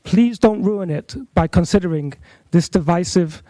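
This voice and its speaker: Earnest rabbi, high mellow male voice. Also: no room sound. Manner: earnest, measured appeal. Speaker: rabbi, public commenter. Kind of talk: public comment at a council meeting. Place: council chamber. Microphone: podium microphone.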